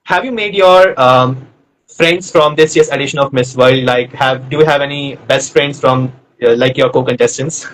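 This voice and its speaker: A man's voice: mid-range voice, normal speed, fairly bright tone, rough recording. A man from North India hosting a live online interview, chatty and friendly, rambling with fillers as he asks his questions.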